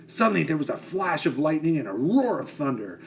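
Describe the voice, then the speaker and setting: A man reading a children's picture book aloud with expressive, dramatic phrasing. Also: on the high side, brisk, a little hiss or hum.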